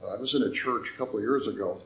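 An older man speaking in a church, making an earnest, folksy appeal with a slightly hoarse voice. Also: on the low side, rapid-fire, reverberant, slight background noise, rough recording. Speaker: visiting ministry speaker. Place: church sanctuary.

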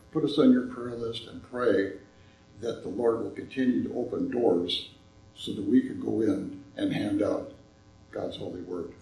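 Older man, earnest church speaker, slightly hoarse, low and gravelly, roomy echo. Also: a little mellow, a little hiss or hum. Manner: earnest, folksy storytelling. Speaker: visiting ministry speaker. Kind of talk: church appeal talk. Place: church sanctuary.

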